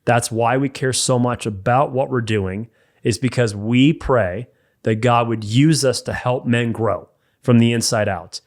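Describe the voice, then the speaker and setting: Man, middle pitch, emphatic and direct, faith-based coaching talk on a podcast. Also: measured pace. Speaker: men's mentor and podcast co-host.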